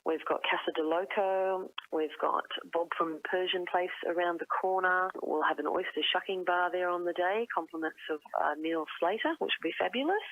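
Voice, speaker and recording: A woman speaks over a narrow, tinny telephone line. Her voice sits a little low, and she talks unscripted, like someone being interviewed as a spokesperson. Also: no echo. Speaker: event spokesperson.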